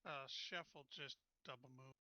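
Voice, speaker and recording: A man's voice: mid-range voice, moderate pace, sharp tone, dry recording, clean studio sound. A man chatting casually as a player in a tabletop roleplaying game.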